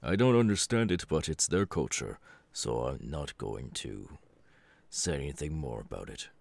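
A man playing a stern, weary middle-aged superhero father in an ASMR roleplay, with a deliberate, halting delivery. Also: extremely low pitch, animated, creaky, a little mellow, no room sound, heavily acted. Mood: calm, advising. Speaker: voice actor.

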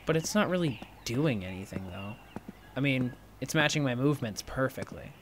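A man playing an awkward, sheepish young retail worker in an audio drama, with a halting, casual, wry delivery. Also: mid-range voice, expressive, a little hiss or hum, close to an everyday voice. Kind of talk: naturalistic scripted audio drama dialogue.

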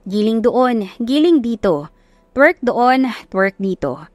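A woman narrates a romance audiobook from a script. Her voice sits at a medium pitch. The recording is dry, with no room sound. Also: no room sound.

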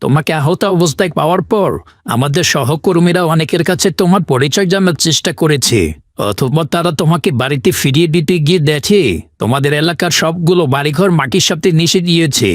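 A man voicing a calm, fatherly doctor in a cartoon. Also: fairly high pitch, a little fast, no room sound, studio quality, close to an everyday voice. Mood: solemn. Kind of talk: cartoon voice acting.

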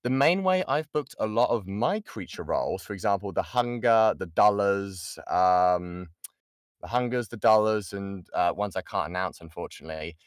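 A British man with a fairly low voice in a dry, close-sounding recording. He teaches informally like a workshop host, chatting along, interrupting himself and repeating points to stress them. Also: expressive, normal speed, clean tone, no room sound. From United Kingdom. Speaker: voice actor giving a workshop. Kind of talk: informal online lesson with audience questions. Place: indoors, online voice chat.

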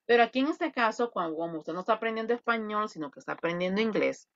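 A woman's voice: medium pitch, expressive, fast talker, a bit bright. A woman teaching an online English grammar class, explaining patiently and quizzing her students. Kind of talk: online grammar lesson in Spanish. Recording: dull, dry video-call audio.

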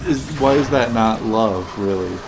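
A man's voice: lowish voice, unhurried, vocal fry, low-quality recording. A man giving casual, opinionated commentary on game cutscenes, rambling and musing in questions. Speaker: video game reviewer. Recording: voice-over with game music behind.

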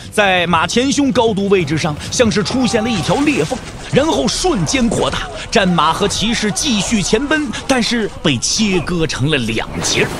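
A man narrates a martial-arts audio drama with theatrical flair. His voice sits high for a man and moves in lively, animated sweeps. Faint music plays behind him.

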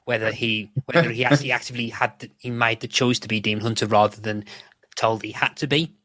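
A man's voice: mid-range voice, steady tone, fast, fairly bright tone, no echo. A British man hosting a podcast, casually discussing a television episode. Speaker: podcast host.

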